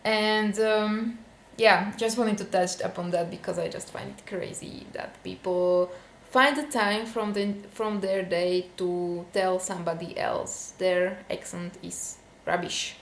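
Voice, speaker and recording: A woman's voice: mid-range voice, steady tone, slow pace, hardly any pauses, echoey room, slight background noise. A young woman from Slovakia with a Slovak accent, venting casually to camera in a vlog, with many fillers. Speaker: vlogger.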